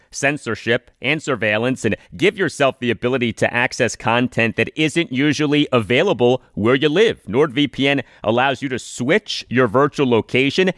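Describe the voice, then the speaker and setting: A man's voice: medium pitch, a bit bright, no room sound. Man hosting sports talk, dry close sound. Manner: emphatic, repeats phrases deliberately. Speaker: sports podcast host. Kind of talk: sports commentary and scripted ad reads.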